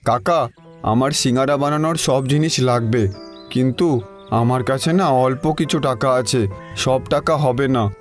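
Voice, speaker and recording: A man's voice: medium pitch, unhurried, faint music behind, natural voice. A man voicing a humble, honest young street-food seller in a cartoon story, earnest and respectful. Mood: earnest pleading.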